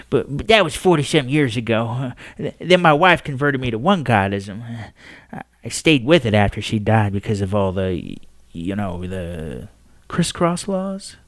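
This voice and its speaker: A man's voice: on the high side, sing-song, a little fast, fairly bright tone, no room sound, studio quality, pitched above the performer's own voice. A man voicing a nervous old male astronomer who stammers and hesitates. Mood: earnest.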